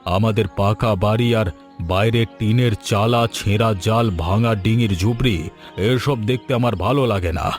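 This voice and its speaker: A man's voice: on the low side, a little slow, soft background music, close to an everyday voice. A man voicing a haughty older rich landlord in a cartoon, pompous and scornful. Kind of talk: scripted cartoon dialogue.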